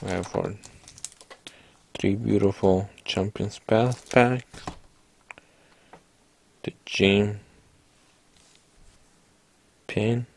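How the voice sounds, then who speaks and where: Man, low gravelly voice, slow easygoing pace, dry close mic, casual card-opening chat. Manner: relaxed, talks to viewers while reading out cards. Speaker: card-opening channel host. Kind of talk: casual pack-opening narration.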